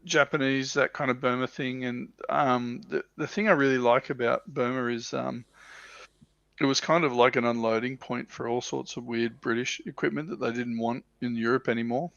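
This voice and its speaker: A man's voice: medium pitch, fairly even intonation, normal speed, gravelly, fairly bright tone, no echo. A man chatting casually as a livestream co-host in a rambling, enthusiastic way, on a lo-fi microphone. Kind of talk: casual hobby chat. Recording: dry, dull lo-fi microphone.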